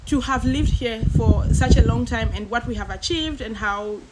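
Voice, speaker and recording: Reflective woman guest, fairly high voice. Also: normal speed, very noisy. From Zambia. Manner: thoughtful, reflective, many fillers. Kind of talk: casual chat with a friend.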